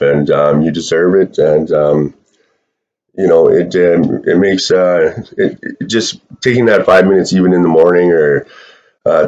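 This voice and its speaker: Guy with a really low voice doing a laid-back tarot reading, lots of uhs and ums, kinda dull lo-fi audio. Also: darkish tone. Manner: chatty, many fillers, occasional swearing. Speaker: tarot reader. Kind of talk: casual tarot reading to camera.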